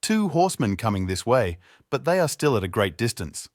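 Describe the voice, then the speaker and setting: A man's voice: mid-range voice, sing-song, a little fast, no echo, clean studio sound, natural voice. A man voicing a watchful, loyal sister in a fairy tale, calling out in formal, archaic phrases. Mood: watchful, anxious. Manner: formal archaic lookout calls. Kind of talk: storybook character voice in narrated fairy tale.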